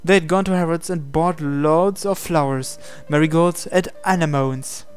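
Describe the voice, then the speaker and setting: A man's voice: fairly high pitch, measured pace. A man reading a visual novel aloud as narration, sometimes stumbling and repeating phrases. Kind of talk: dramatic read-aloud narration with asides. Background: game music.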